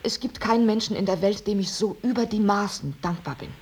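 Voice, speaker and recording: An actress playing an earnest, devoted unmarried daughter in a German radio drama, speaking in a measured, intense way with weary dignity. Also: female voice, mid-range voice, darkish tone, close to an everyday voice. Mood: firm, devoted. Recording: radio drama with clear tape hiss.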